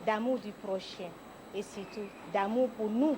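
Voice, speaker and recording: A woman's voice: mid-range voice, fairly even intonation, unhurried. A woman giving an earnest testimonial as a former pupil. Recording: clear background noise.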